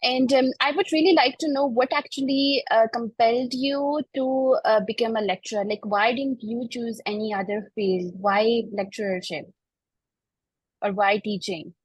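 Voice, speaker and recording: Polite host woman with a husky voice. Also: fairly high pitch, fairly even intonation, normal speed, no room sound, poor audio. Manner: polite and formal when asking questions. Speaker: podcast host. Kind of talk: podcast interview.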